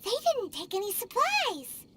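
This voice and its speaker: A woman's voice: wildly swooping pitch, a little slow, exaggerated voice. A woman voicing a tiny, childlike, curious fairy companion in a video game. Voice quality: squeaky, childlike. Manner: exclamatory, wide-eyed. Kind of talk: English video game voice acting. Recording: game music behind the voice.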